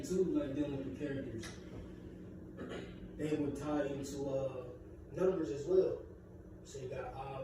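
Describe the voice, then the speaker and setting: A man teaches a small group in a loose, chatty way and keeps checking that his listeners follow. He sounds far from the mic in a very echoey room. Loud ambient noise runs under his voice. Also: medium pitch. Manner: conversational, many fillers, asks if listeners follow. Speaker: class teacher. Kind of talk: casual religious class teaching.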